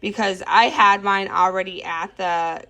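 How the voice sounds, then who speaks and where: A woman's voice: mid-range voice, steady tone, unhurried, pushed and bright. A woman talking informally and enthusiastically in an unscripted, chatty product review and demonstration.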